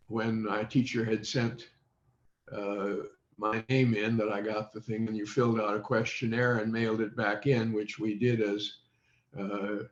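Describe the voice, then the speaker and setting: An older man from the American Midwest reminiscing in an interview, halting and pausing often mid-sentence. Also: fairly low pitch. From United States, Midwest. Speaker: interview guest recalling radio childhood. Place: indoor room on a video call. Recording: roomy, dull video-call audio.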